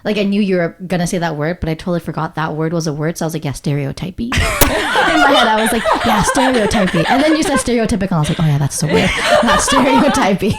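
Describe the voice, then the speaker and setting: A young woman co-hosting a podcast, chatting casually with quick friendly asides and questions. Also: medium pitch, sing-song, very noisy. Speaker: podcast co-host.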